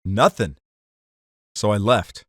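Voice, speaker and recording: A man's voice: on the low side, slow, dry recording, clean studio sound. A man playing a hot-headed card-cheating gangster telling his story in slangy 1940s radio drama style. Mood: narrating, bitter.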